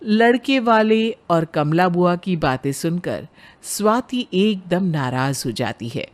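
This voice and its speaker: A woman narrator reading a scripted story in the third person. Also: on the low side.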